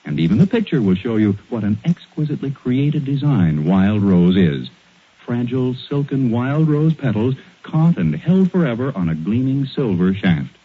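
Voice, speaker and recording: A man's voice: medium pitch, very animated, moderate pace, husky. An American man announcing on 1940s radio in a polished, ornate and emphatic sales style, on a tinny old recording.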